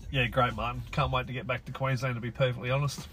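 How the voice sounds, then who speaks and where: Dry husky Australian guest. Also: male voice, medium pitch, little pitch movement, fast talker, a bit bright, soft background music. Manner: dry, blunt, sardonic. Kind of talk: casual conversation.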